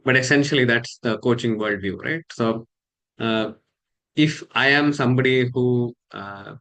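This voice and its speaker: A man from Karnataka with an Indian English accent, a coach talking casually to camera, measured and halting with many fillers. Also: mid-range voice, fairly bright tone.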